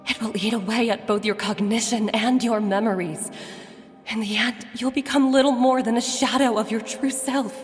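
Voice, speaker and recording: A woman voicing a caring, steady mother and wife in a game, speaking reassuringly. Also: on the high side, moderate pace, in a large hall, faint music behind, natural voice. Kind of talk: game voice acting.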